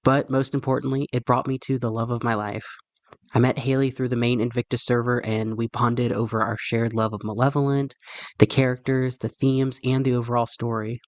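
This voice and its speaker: A man with a medium-pitched voice records a listener message. It is a prepared personal testimonial, captured dry and close. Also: little pitch movement, a little fast, no room sound.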